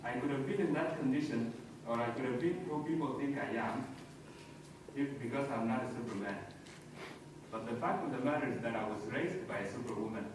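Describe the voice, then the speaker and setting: A man with a South Sudanese accent telling a personal story as a speech, in deliberate short phrases. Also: mid-range voice. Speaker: conference speaker. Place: large echoey hall. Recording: very echoey hall, distant mic, noisy.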